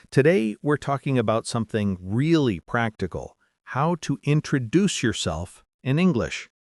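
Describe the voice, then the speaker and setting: A man hosting an English-learning podcast, speaking upbeat and clearly, slowly spelling out phrases for listeners to repeat. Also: mid-range voice, dry recording, clean studio sound. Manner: upbeat, clear, slow and deliberate for repetition. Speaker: podcast host and English teacher. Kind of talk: scripted English lesson.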